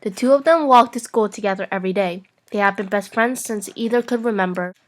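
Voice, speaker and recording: A woman's voice: medium pitch, a little fast. A young woman reading fan fiction narration aloud as an amateur, playful and prone to giggling.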